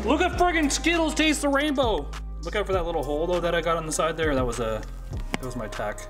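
Higher-pitched Canadian man, casual workshop vlog. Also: expressive, fast talker, over loud music. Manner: jokey, slangy. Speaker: vlog host and fabricator. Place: garage workshop, indoors.

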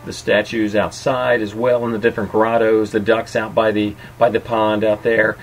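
A man's voice: fairly low pitch, flat delivery, moderate pace, faint music behind. A middle-aged man hosting and narrating an informal guided tour. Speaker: host and narrator of a restaurant tour.

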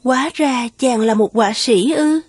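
Casting: devoted, yearning young lady for animated folktale, high voice, gentle deferential delivery. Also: moderate pace, breathy edge, dry recording, studio quality. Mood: gentle, attentive. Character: gentle, yearning young Japanese woman in love.

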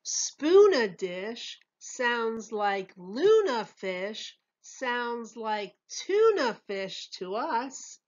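A woman voicing young twins in a storybook, sounding out rhymes in very drawn-out words. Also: a little high, very animated, lo-fi sound, full character voice. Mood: puzzling, pleased. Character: young twins, boy and girl, puzzling out rhymes.

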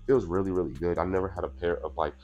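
A man's voice: low-pitched, fairly even intonation, fast talker, darkish tone, highs cut off, soft background music. A young man in his twenties, a little congested from allergies, chatting casually through a sneaker unboxing in a small room on a clip-on mic. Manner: laid-back, chatty, says "you know what I'm saying" a lot. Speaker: sneaker vlogger. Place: small indoor room with purple lighting.

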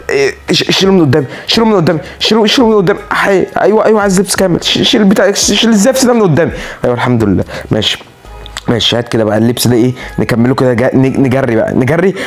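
A young man with an Egyptian accent chatters through a game account showcase at a rapid clip, his voice sitting a little high. He is tired from a sleepless night, yet he still bursts into excitable, dramatic exclamations and playful mock threats. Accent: Egyptian Arabic. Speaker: gaming YouTuber.

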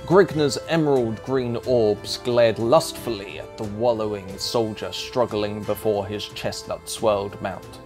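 A man's voice: lowish voice, unhurried, hardly any pauses, music in the background. A man giving scripted narration as a video essayist.

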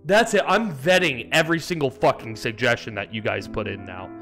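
A young man chatting casually on a live stream from a small room, profane and excitable, bursting into loud outbursts. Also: medium pitch, a little fast, soft background music.

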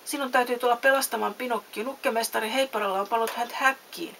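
A woman's voice: medium pitch, fairly bright tone, distant mic, lightly acted. A woman voicing a tiny storybook helper, an earnest friend who advises with urgency. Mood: urgent. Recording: faint background hiss.

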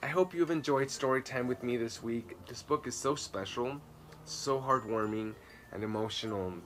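A man in his thirties reads a children's book aloud at a middle pitch. He tells it expressively and leans on key words for drama. It is recorded outdoors in a garden, with birds and ambient noise behind him. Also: fairly bright tone. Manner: dramatic, with slow emphatic words and repeats. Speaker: children's storytime reader and host. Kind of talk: expressive read-aloud storytelling.

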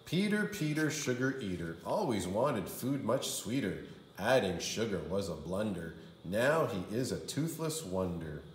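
A man's voice: on the low side, very animated, unhurried, slight background noise. A man reading a children's storybook aloud like a kindergarten teacher, with theatrical, sing-song delivery. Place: indoor room. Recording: roomy indoor echo.

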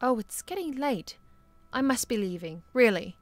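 Gracious, highish-voiced wife, acted by a woman. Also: expressive, normal speed, lightly acted. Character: kindly, gracious bishop's wife, gently cheerful. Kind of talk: film-adaptation audio drama acting.

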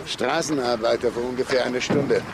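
An actor playing a terse, factual homicide investigator in a German crime radio drama. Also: male voice, medium pitch, fairly even intonation, fairly quick, natural voice. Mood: businesslike. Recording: noisy ambience behind.

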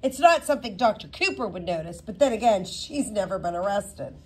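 A woman's voice: medium pitch, animated, fairly quick, breathy edge, rough recording. A woman voicing a cheeky, self-assured schoolgirl in a storybook, with dry, teasing emphasis. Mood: smug teasing.

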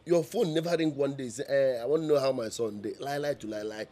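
A man's voice: mid-range voice, quick pace, warm tone, natural voice. A young man with a Nigerian accent playing a defiant son who argues with his mother, exasperated and mixing in Pidgin and Igbo phrases. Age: young adult.

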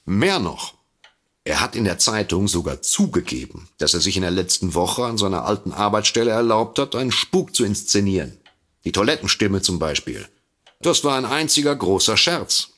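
A deep, droll, husky sidekick. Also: male voice, very animated, normal speed, a bit bright. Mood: smug. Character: droll, self-satisfied male writer and detective's sidekick. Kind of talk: comedic audio drama acting.

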